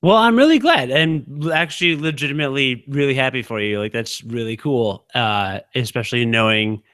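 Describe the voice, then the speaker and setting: A man's voice: medium pitch, animated, a bit bright, dry recording. Thirtysomething guy on a podcast, dry close sound, lots of ums. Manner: quick, halting, lots of fillers. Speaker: podcast co-host. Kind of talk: casual chat.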